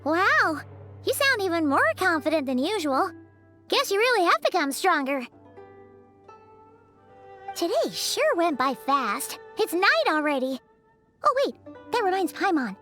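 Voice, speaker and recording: An actress playing a tiny, excitable fairy-like companion who talks about herself in the third person, bubbly and boastful. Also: female voice, measured pace, pauses often, soft background music, heavily acted. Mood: cheerful. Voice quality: very high and chirpy. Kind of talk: video game voice acting.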